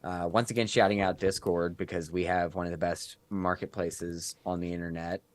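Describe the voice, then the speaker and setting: A man's voice: sits low, level tone, normal speed, no room sound. A man with a nasal voice, a guest speaking casually in a podcast interview and giving advice. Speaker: guest content creator.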